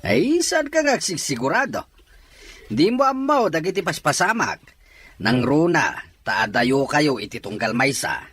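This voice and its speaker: A man's voice: a little high, sing-song, unhurried, a bit bright, husky, full character voice. A man playing a cautious, doubting father in an audio drama. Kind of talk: Ilocano audio drama dialogue.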